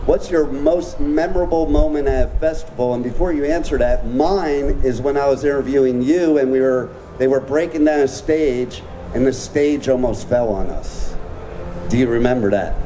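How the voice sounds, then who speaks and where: A male radio reporter with a mid-range voice asks his question chattily, drifting into his own memories first. Clear festival noise runs behind him. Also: mid-range voice, moderate pace, dark tone, rough recording. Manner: chatty, reminiscing. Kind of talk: press question. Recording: clear outdoor background noise.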